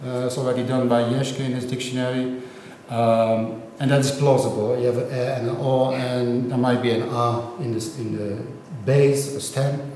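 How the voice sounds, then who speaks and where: A man's voice: medium pitch, flat delivery, moderate pace, mellow, a little hiss or hum. Man, Dutch first language, lecturing, frequent "right?", echoey room. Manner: explanatory, checks understanding with frequent "right?". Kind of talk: university lecture on linguistics.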